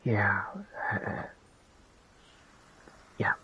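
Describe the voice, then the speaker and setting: A man's voice: extremely slow, sharp tone, muffled recording, a little hiss or hum. An actor playing an earnest male companion in a fantasy video game. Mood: subdued.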